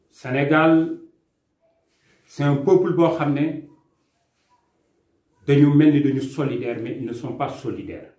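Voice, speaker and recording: A young man with a Senegalese accent speaks earnestly and emphatically to a camera in a small room. His voice sits at an ordinary middle pitch. The room adds a noticeable echo. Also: darkish tone. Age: young adult. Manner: impassioned, emphatic, gesturing with his hands. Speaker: immigration consultant. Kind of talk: earnest video statement, mixing French and Wolof. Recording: echoey room sound.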